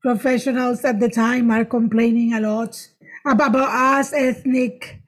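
A middle-aged woman with a high-pitched voice and a foreign accent speaks English as a later language. She tells stories casually as a comedian being interviewed, haltingly, often doubling back on words and phrases. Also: little pitch movement. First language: not English, which is a third language. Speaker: stand-up comedian, interview guest. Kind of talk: casual conversational storytelling with jokes.

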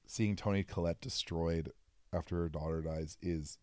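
A man's voice: sits low, fairly even intonation, normal speed, mellow, no room sound, clean studio sound. A young man co-hosting a podcast, chatting loosely about a movie with lots of "like" and the odd swear word. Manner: casual, filler-heavy, swearing. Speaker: podcast co-host. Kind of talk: casual movie commentary chat.

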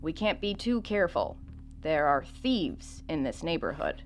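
Low-voiced, wary elderly widow. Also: female voice, big pitch swings, measured pace, soft background music, exaggerated voice. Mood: suspicious. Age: older. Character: wary little elderly widow seeking contact with dead husband. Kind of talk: audio drama dialogue.

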